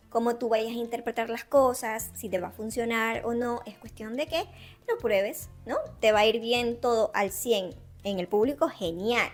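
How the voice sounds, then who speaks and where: An Ecuadorian woman from Ecuador chatting casually to camera, explaining with examples and speaking straight to the viewer. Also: a little high. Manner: chatty, gives examples, addresses the viewer directly. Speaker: interviewee sharing her experience. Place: Barcelona.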